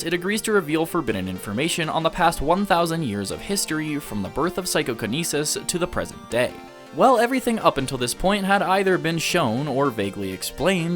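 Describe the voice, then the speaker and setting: A man reading a scripted video essay as an anime reviewer, analytical and articulate in formal wording. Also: a little high. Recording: music behind the voice. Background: background music.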